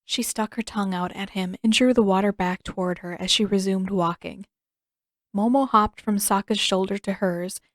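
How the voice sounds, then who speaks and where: A woman narrates fiction from a script. Her voice has an average female pitch. The recording is dry, with hardly any room sound. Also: no room sound. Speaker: audiobook narrator.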